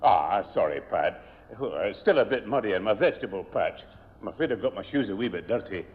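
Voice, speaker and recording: An actor playing an older grandad in a 1970 radio sitcom, genial but quick to grumble. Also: male voice, lowish voice, animated, close to an everyday voice. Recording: tinny noisy old radio, hall reverb.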